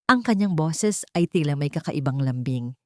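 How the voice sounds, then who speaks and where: A woman's voice: low-pitched, expressive, moderate pace, mellow, no echo, clean studio sound. A woman with a Filipino accent reading a scripted drama as an audiobook narrator, in a dramatic storytelling style.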